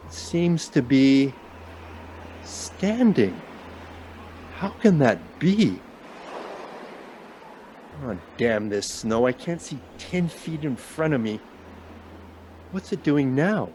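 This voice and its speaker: Man acting a slow-spoken, guilt-ridden husband. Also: fairly high pitch, very animated, darkish tone, a little hiss or hum. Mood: wary, bewildered. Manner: halting, muttering to himself. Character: stubborn, guilt-ridden husband trudging alone through a snowstorm. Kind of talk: radio play acting.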